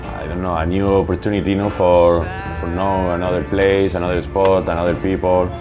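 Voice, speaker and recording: A man's voice: low-pitched, flat delivery, a little fast, highs cut off, music in the background. A man in his late twenties from Spain, speaking English with a Spanish accent in a casual interview. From Malaga, Spain. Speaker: skateboarder being interviewed.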